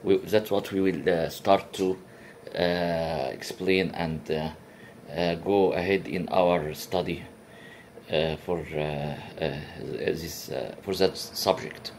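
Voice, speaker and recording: A man whose first language is Arabic gives a religious lecture in careful, non-native English, pausing often with fillers and restarting words. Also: sits low, fairly even intonation, darkish tone, slight background noise. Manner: halting, many uh fillers and restarts. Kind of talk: comparative religion talk.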